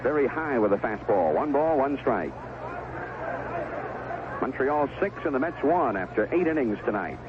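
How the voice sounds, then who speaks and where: An American man calling baseball play-by-play on the radio, in clipped phrases with unhurried pauses. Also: mid-range voice, big pitch swings. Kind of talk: live baseball radio commentary. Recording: tinny, noisy 1970s AM radio broadcast.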